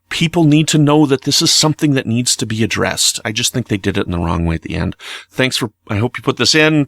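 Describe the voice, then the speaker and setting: A man with a mid-pitched voice talks through his opinions in an informal listener message. He is earnest and emphatic, conversational in delivery. The sound is very dry, with no room echo. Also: a bit bright. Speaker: listener leaving feedback message.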